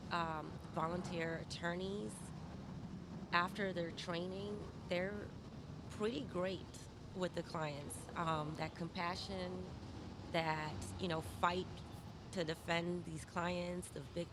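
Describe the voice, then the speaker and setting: A woman's voice: fairly low pitch, little pitch movement, takes it slowly. A middle-aged woman who grew up in Saint Louis, giving conversational answers as an interview guest. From born in Malawi, grew up in Saint Louis, USA. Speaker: victim advocate, interview guest. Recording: clear background noise.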